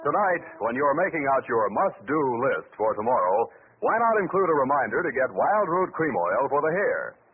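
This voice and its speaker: A phone-like vintage recording with faint noise carries an American male radio announcer. His delivery is animated and polished, like a 1940s sponsor salesman. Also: medium pitch, moderate pace.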